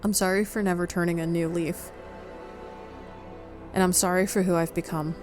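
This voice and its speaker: Lowish-voiced woman reading a game aloud. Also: steady tone, moderate pace, a little mellow, soft background music. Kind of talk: dramatic read-aloud of a visual novel playthrough.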